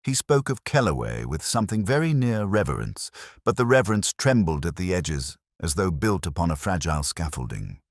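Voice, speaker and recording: A man playing an ageing, melancholy police inspector, narrating a detective story in a measured, literary, brooding manner. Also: sits low, very animated, no echo, clean studio sound, exaggerated voice. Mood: brooding, reflective. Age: older. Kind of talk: first-person scripted detective story narration.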